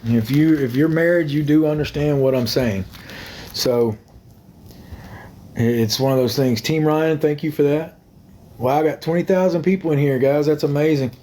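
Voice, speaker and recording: A man's voice: a little high, normal speed, dark tone, slight background noise. A young man chatting on a phone livestream, rambling, repeating himself and thanking viewers by name. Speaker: livestream host. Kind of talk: casual livestream chat with viewers.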